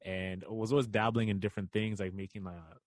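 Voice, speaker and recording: A man's voice: on the low side, fairly even intonation, fast, no echo. A man in his twenties from Kenya, co-hosting a podcast in laid-back, slangy chat. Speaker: podcast co-host. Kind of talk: casual podcast conversation.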